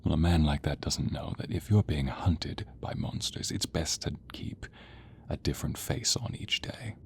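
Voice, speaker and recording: A man voicing a sadistic, theatrical sorcerer villain in an audio roleplay, silky and menacing, drawing out words and slipping into breathy whispers. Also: rumbling low, normal speed, a little mellow. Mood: sly, hushed. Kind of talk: audio roleplay acting addressed to the listener.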